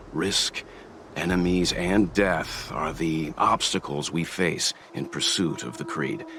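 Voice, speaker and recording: A wise middle-aged crew mentor from a video game, with a low, steady voice. He speaks in a thoughtful, earnest way, with clear music underneath. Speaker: voice actor in a game cutscene. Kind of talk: scripted game dialogue.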